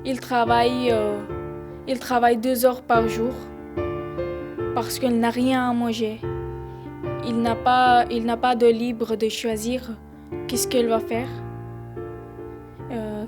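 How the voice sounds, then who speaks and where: Even, higher-voiced young female non-native speaker. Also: slow pace, long pauses, over music. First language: not French. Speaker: newly arrived student. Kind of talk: scripted personal narration.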